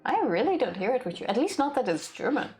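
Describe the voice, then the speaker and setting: A young Dutch woman co-hosting a game stream, bantering quickly and laughing often. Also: a little high, very animated, darkish tone, faint music behind. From Netherlands. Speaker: let's play co-host. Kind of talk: casual gaming stream chat.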